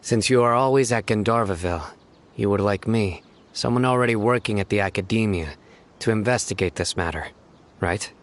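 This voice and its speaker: A man voicing a stern, low-voiced official. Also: close to an everyday voice. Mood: serious. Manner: serious, measured, guarded. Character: stern grown man official, disciplined and wary. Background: game music.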